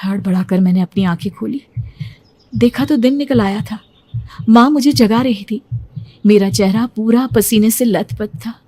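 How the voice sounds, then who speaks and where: A young woman narrating a horror cartoon story in the first person, hushed and suspenseful. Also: fairly high pitch, normal speed, no echo. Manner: hushed, suspenseful, rising to frightened.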